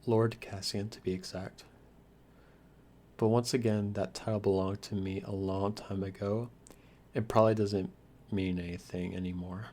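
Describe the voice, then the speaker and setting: A man playing a shy, lonely, youthful vampire lord in an ASMR roleplay, stammering earnestly with hesitant, softly formal manners. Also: on the low side, flat delivery, normal speed, close to an everyday voice. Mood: shy, amused. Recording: clear background hiss and noise.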